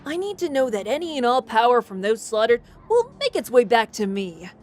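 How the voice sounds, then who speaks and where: A woman's voice: fairly high pitch, very animated, brisk, a little mellow, soft background music. A woman voicing an impish demon boy in an audio drama, teasing and sly, with a theatrical drawl. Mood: scheming.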